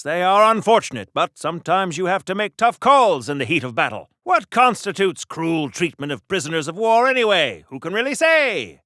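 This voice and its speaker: An actor playing a pompous, chipper American superhero of the wartime era, in a campy, grandiose 1940s radio-serial style for a video game. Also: male voice, on the high side, bright, forceful tone, smooth, no echo, studio quality. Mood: smug, breezy. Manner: grandiose, old-fashioned, wordy, theatrical.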